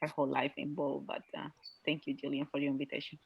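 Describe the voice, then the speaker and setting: Low-pitched woman, dry sound. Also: flat delivery, fast, vocal fry, breathy edge, no room sound, muffled recording. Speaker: chocolate maker. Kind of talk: casual self-introduction.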